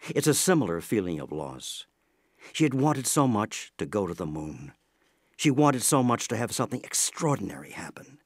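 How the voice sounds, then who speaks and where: A man narrating an audio play in a scripted, measured storytelling manner. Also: mid-range voice, slightly rough, dry recording, clean studio sound.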